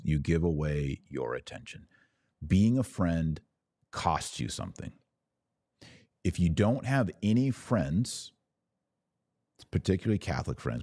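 Male podcast host, low mellow voice, earnest and exhorting, talking informally straight to his audience. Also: no room sound, studio quality. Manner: earnest, exhorting, poses questions and answers them. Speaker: podcast host answering live viewers. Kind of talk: informal livestream advice monologue.

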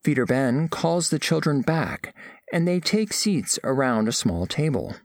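A man's voice: mid-range voice, sing-song, unhurried. A man playing a formal, curious alien scholar in an audio drama, narrating observations of humans with precise, clinical phrasing.

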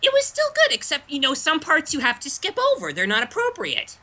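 A performer voicing a childish, self-important male Muppet fan being interviewed, talking in a deadpan way and repeating the host's name. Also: high voice, fairly quick, exaggerated voice. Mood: chatty. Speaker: interview guest.

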